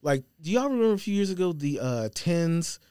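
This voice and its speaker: A man's voice: fairly high pitch, big pitch swings, fairly quick, dark tone, clear, resonant tone, dry recording, studio quality. An American man in casual podcast chat, explaining things in an informal, slangy way. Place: podcast studio.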